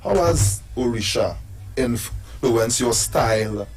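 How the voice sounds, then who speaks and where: Brief: male live-stream host, mid-pitched, easygoing questioner, faint music in the background. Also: lively intonation, slow. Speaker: interviewer. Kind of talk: live-stream interview chat.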